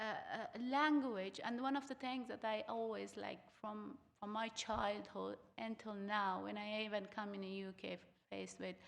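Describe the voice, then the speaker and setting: A young woman from Afghanistan whose first language is Uzbek, speaking haltingly with many fillers as a students' union equity officer on a theatre panel. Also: medium pitch, creaky, long reverb tail. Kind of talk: panel talk on stage. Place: theatre stage.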